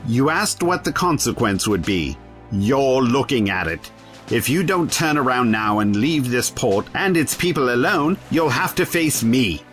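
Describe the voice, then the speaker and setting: An actor playing an eccentric, bookish time-traveller in an audio drama, witty and defiant, with a wry, articulate way of talking. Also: male voice, medium pitch, big pitch swings, unhurried, fairly bright tone, soft background music.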